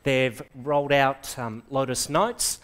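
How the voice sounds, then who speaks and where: A middle-aged man with an Australian accent giving an enthusiastic software presentation to a live audience, quizzing the room and dropping quick asides. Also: medium pitch. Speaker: conference presenter. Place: lecture hall with seated audience.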